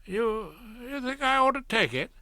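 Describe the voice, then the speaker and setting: A man's voice: extremely high pitch, animated, measured pace, pushed and bright, dry recording, studio quality, voice pushed up, heavily acted. A man voicing a pompous, easily flustered middle-aged barrister, stammering and hemming.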